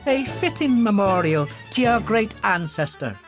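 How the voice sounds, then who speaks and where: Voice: mid-range voice, big pitch swings, measured pace, music in the background, pitched above the performer's own voice. A narrator voicing a kindly, anxious male parish priest in an audiobook thriller. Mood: courteous.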